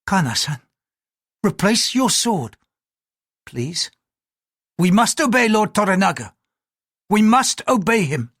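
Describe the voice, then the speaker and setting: A man's voice: sits high, big pitch swings, takes it slowly, long pauses, fairly bright tone, breathy edge, no room sound, clean studio sound, pitched above the performer's own voice, heavily acted. A man voicing a formal, polite Japanese noblewoman who speaks with strained courtesy. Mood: pleading.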